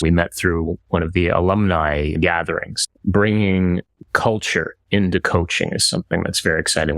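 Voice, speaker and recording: Gravelly low-voiced man hosting. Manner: measured, inviting. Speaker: host. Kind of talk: interview hosting.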